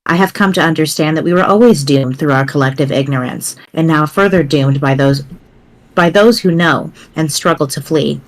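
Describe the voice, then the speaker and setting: Amateur reader as teenage girl chronicler, solemn and wry, formal wordy diction, deliberate delivery, webcomic dramatic reading, mid-pitched. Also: close to an everyday voice. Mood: grave, doomful. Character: wry, grave teenage girl writing a doomsday game walkthrough.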